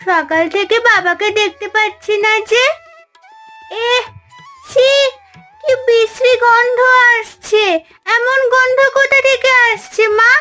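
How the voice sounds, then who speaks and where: A woman's voice: extremely high pitch, slow pace, sharp tone, faint music behind, heavily acted. A woman voicing an eager, helpful young village daughter in a cartoon family drama. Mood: curious.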